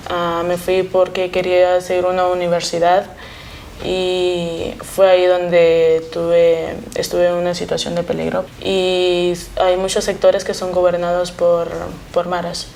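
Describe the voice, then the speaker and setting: A woman from Honduras with a lowish voice tells her story plainly and with little melody. A faint room ambience stays behind her. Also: monotone, measured pace, vocal fry, darkish tone, a little hiss or hum. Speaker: migrant interviewee. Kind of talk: testimony.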